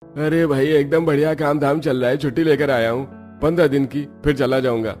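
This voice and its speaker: A man's voice: fairly high pitch, fairly even intonation, brisk, darkish tone, faint music behind, lightly acted. A man playing a friendly, practical village working man in a cartoon story, plain-spoken and advising. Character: village men off to city factory work, friendly, practical.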